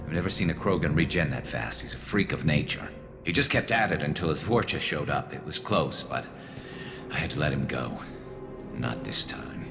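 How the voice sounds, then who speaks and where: An actor playing a battle-hardened, loyal alien vigilante sniper in a video game, dry and wry, with a gravelly, flanged rasp. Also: male voice, a bass voice, lively intonation, moderate pace, heavily acted. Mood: wry, grim. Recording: game music behind the voice.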